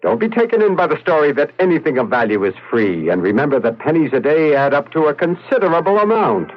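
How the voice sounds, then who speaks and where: An actor playing an earnest, firm consumer watchdog who gives emphatic warnings in a radio public service spot. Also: male voice, on the high side, sing-song, fast talker. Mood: firm, cautioning. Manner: emphatic, cautioning. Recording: dull radio recording, music behind.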